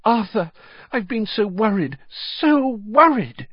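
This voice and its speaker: A man reading an audiobook, voicing a frantic, doting middle-aged mother with shrill, sobbing outbursts. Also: big pitch swings, slow, husky, dry recording, heavily acted. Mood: frantic relief. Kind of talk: audiobook character voice. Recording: dull dry lo-fi recording.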